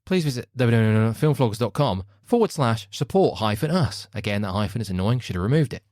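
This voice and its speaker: Guy reading a jokey podcast plug, lively up and down pitch, self mocking, dry close recording. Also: no echo. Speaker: podcast host. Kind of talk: jokey promotional read.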